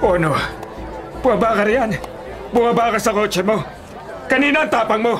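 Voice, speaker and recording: An actor playing an angry Filipino delivery rider spoiling for a fistfight, confrontational, in radio drama style. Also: male voice, high-pitched, normal speed, music in the background, a crowd in the background, lightly acted. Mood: furious. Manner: shouting, confrontational.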